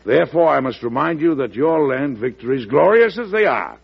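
An actor playing a forceful, shrewd American president in an old radio drama, frank and emphatic, with an American accent. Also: male voice, medium pitch, lively intonation, a little fast, gravelly, husky. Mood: persuasive. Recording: muffled vintage radio broadcast recording.

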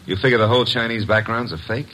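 A man's voice: medium pitch, fast, pushed and bright, poor audio. An actor playing a wisecracking male assistant to a detective, talking in a brash, breezy, streetwise way in 1940s radio style.

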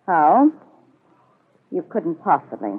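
A woman's voice: on the low side, very animated, slow, breathy edge, exaggerated voice. An actress playing a confident, scheming middle-aged woman in a 1940s radio soap, cool and insinuating. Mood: coolly threatening. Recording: muffled old radio broadcast.

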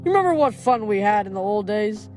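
A woman's voice: mid-range voice, lively intonation, fast, darkish tone, soft background music, natural voice. A woman voicing a jovial but menacing male Titan giant, boastful and theatrical, in a storybook reading. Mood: nostalgic, boastful. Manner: theatrical, grandiose, hearty.

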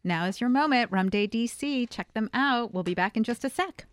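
A woman's voice: on the high side, animated, normal speed, clear, resonant tone, dry recording, studio quality. A woman co-hosting a radio show, asking casual interview questions.

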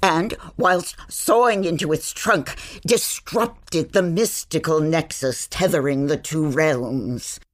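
A woman's voice: low voice, slow, hardly any pauses. A woman playing an eccentric older witch, a grandmother in a magical realm, with a theatrical, muttering, exasperated manner in a fantasy audio drama. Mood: grave, explaining. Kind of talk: audio fiction fantasy acting.

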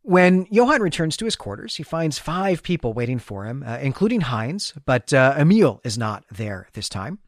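A man's voice: mid-range voice, sing-song, normal speed, hardly any pauses, dry recording, clean studio sound. A man hosting a podcast, recapping a story in a conversational, enthusiastic, explanatory way. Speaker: podcast host. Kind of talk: conversational literary recap with read-aloud passages.

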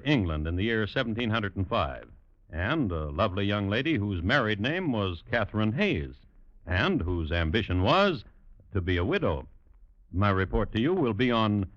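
An actor playing a droll, formal crime chronicler, narrating deliberately in 1950s radio style. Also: male voice, lowish voice, a bit bright, no room sound. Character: dry, macabre true-crime chronicler hosting a radio series. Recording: dull, dry old radio recording.

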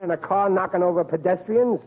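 A tinny, faintly noisy old radio recording of a man acting a blustering working-class father. He is stubborn and exasperated, pushing out emphatic, ungrammatical lines in a high voice for a man, in 1940s sitcom style. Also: brisk, natural voice. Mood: self-righteous. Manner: emphatic, ungrammatical, exasperated blue-collar talk.

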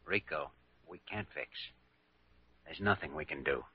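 An earnest, kindly American doctor with a gentle bedside manner. His voice is low and a little husky, moving expressively through each line. The performance is old radio drama, on a muffled recording. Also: measured pace, exaggerated voice. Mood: sombre, sympathetic.

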